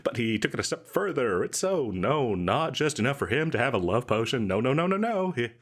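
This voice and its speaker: A man acts out an overeager salesman in a comedic audio roleplay, with a mid-pitched voice that swings up and down freely. He rambles and stammers through a chatty pitch, coy and cheerfully shady about what he is selling. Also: very animated, a little fast. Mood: chatty, impressed. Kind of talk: comedic audio roleplay, one side of a conversation.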